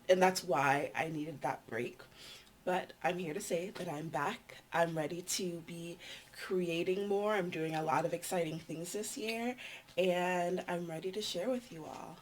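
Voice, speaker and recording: A young adult woman with a nasal voice, chatting casually to her vlog audience in a meandering, filler-filled way. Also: lowish voice. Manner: chatty, meandering, with frequent ums and likes. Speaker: vlogger.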